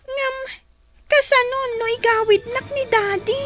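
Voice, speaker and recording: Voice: extremely high pitch, a little slow, over loud music. A performer acting a small, anxious, questioning grandchild in a radio drama.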